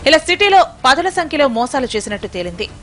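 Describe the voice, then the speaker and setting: A woman delivers a scripted news report as voice-over. Her pitch sits a little high and she moves very quickly, with emphatic, dramatic phrasing. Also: big pitch swings, a bit bright, faint music behind.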